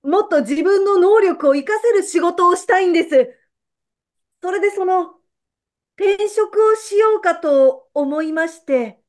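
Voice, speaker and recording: Lady doing a meek pheasant employee in a comedy radio play, super high voice, polite and hesitant. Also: a little slow. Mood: nervous confession. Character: meek, apologetic pheasant employee of a company president. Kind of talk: comedic radio drama acting.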